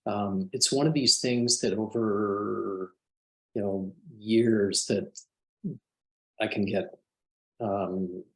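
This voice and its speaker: Low-voiced thoughtful man, hesitant. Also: fairly even intonation, very slow, pauses often, low-quality recording. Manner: thoughtful, hesitant with many fillers. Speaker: psychiatrist. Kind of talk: webinar panel talk.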